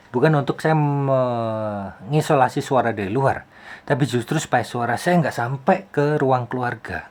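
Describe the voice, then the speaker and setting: A man giving a casual tutorial as a video host, explaining things with rhetorical questions and repeated phrases. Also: medium pitch. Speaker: YouTube host, film soundman.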